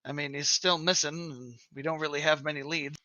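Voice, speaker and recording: Man, player, chatty, very bright tone, dry lo-fi recording. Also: fairly high pitch, steady tone, brisk, no echo. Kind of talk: casual chat during a tabletop game. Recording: dry, muffled mic.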